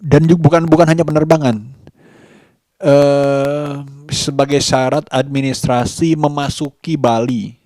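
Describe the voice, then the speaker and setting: A man talking in a casual daily vlog, reflective and halting, pausing mid-sentence. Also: on the high side, steady tone, a little mellow, dry recording, clean studio sound. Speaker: vlogger.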